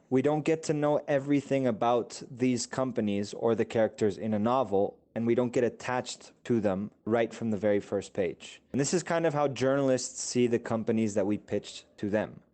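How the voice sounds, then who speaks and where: A man's voice: medium pitch, dark tone. A man working as a PR account manager, explaining client strategy in a podcast answer.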